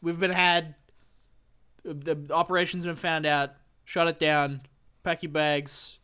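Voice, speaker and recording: A man's voice: fairly high pitch, level tone, unhurried, bright, forceful tone, clear, resonant tone. A man playing a weary, defeated boss in a parody cartoon, giving resigned, clipped orders. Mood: resigned, urgent. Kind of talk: fan parody cartoon dub.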